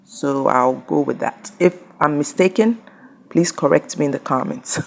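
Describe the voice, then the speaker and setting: A woman hosting her own channel, carefully translating an interview into English; Ga is not her first language. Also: fairly low pitch.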